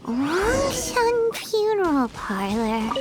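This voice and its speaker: A woman voicing a tiny, childlike female fairy companion in a video game, squeaky, excitable and blunt, with dramatic exclamations. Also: drawn-out words, loud music behind the voice, exaggerated voice. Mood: bewildered. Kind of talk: video game character acting.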